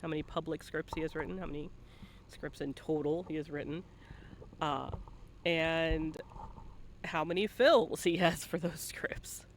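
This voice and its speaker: A man's voice: on the high side, measured pace, a little hiss or hum. A man hosting a casual live-streamed interview, talking informally with playful teasing and swearing, close to his microphone. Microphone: close mic at a computer.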